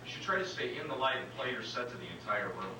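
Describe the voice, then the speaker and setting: A man's voice: mid-range voice, animated, fast, lightly acted. A man playing a genial, experienced comedian who gives polite advice in a conversational staged reading. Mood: advising. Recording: echoey room, distant, noisy.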